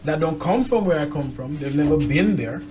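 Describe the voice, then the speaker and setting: A woman's voice: low voice, animated, a little fast. An outspoken woman talking frankly and emphatically in a casual, opinionated conversation. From Jamaica. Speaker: talk show host.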